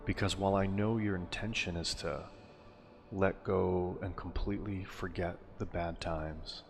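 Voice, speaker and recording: A man narrating a guided meditation in a soothing, unhurried way, with deliberate phrasing and pauses. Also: low voice. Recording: long reverb on the voice. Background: music.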